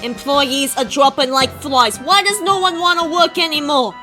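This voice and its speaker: Actress for a shrill cartoon café manager: high, bright, bossy. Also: female voice, fairly even intonation, normal speed, soft background music. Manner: bossy, demanding, exasperated. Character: bossy, shrill adult woman coffee shop manager. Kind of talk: fan comic dub voice acting.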